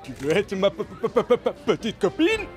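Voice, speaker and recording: An actor voicing an awkward, embarrassed amoeba boy in a cartoon dub, halting and bashful. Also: mid-range voice, huge pitch swings, a little mellow. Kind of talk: animated cartoon dub acting.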